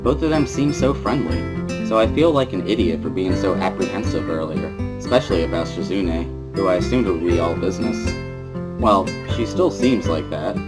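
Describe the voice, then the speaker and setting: Low-voiced man narrating over music. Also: moderate pace. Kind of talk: first-person dramatic reading of a visual novel. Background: guitar music.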